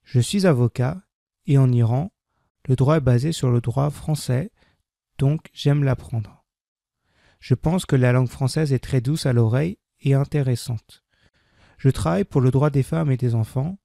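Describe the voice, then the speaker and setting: Creaky-voiced man reading French slowly. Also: mid-range voice, mellow, no room sound, studio quality. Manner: repeats each sentence, deliberate diction. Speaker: French language teacher. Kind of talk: slow scripted reading for learners.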